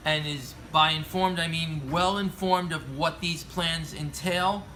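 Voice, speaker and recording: A man's voice: a little high, sharp tone, slight background noise, poor audio. An American man reading scripted opinion commentary as an aviation commentator, earnest and formal, stumbling over some words.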